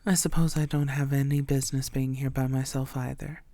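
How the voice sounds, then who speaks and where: Woman playing a soft, mellow, low-voiced coy vampire. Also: flat delivery, a little fast. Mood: weary, sheepish. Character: coy, secretive female vampire posing as a tired blood bank worker. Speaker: audio roleplay performer. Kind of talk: intimate scripted audio roleplay addressed to the listener.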